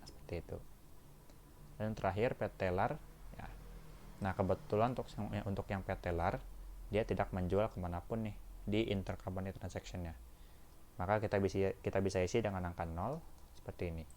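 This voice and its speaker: An Indonesian man with a fairly low voice teaches in an informal, step-by-step way. He keeps checking in with his listeners as he explains. There is faint noise and room ambience behind him. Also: fairly quick, long pauses, a little mellow. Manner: addresses listeners as friends, frequent checking tags. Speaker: accounting lab instructor. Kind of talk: tutorial walkthrough, informal teaching.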